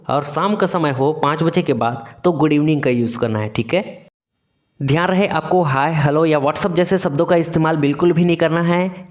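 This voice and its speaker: A man teaching English phrases for self-introduction, explaining step by step and slowing down for each example. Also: fairly high pitch. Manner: checks in with the viewer, often adding a confirming "okay?". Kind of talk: spoken English lesson in Hindi.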